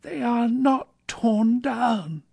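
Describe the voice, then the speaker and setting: A woman's voice: on the high side, sing-song, moderate pace, creaky, husky, dry recording, voice pushed up, heavily acted. A woman voicing an elderly male miser from a storybook, giddy with joy and exclaiming in breathless, repeated bursts. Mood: elated, relieved. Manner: exuberant, exclamatory, breathlessly repetitive. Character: elderly miser man, reborn giddy and overjoyed.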